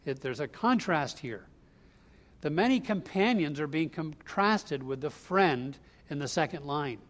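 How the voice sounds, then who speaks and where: A man's voice: on the high side, animated, a little slow, a bit bright. A man preaching an expository sermon, explaining scripture line by line in a conversational way. He grew up in the US Northeast.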